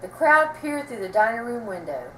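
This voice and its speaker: A woman reading a children's story aloud with expressive, dramatic storytelling delivery. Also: fairly high pitch, moderate pace. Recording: roomy, distant mic, faint noise.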